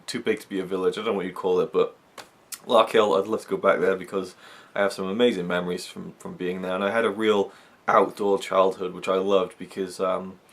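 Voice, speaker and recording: Brief: young man from Wales, deep voice, rambling chatty vlogger. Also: flat delivery, brisk. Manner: rambling, chatty, many fillers. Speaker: YouTube reviewer. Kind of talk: casual chat to camera.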